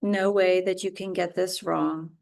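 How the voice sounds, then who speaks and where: A woman leads a guided relaxation as a yoga teacher. Her voice sits a little low, and she speaks very slowly. She delivers short, soothing phrases with space between them. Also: steady tone, creaky, a little mellow.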